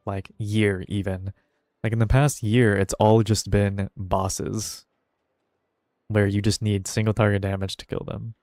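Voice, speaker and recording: A man's voice: fairly low pitch, darkish tone, no echo, clean studio sound. A man giving offhand, slangy commentary while playing a game, often muttering to himself. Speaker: game streamer. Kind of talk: casual gameplay commentary. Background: game music.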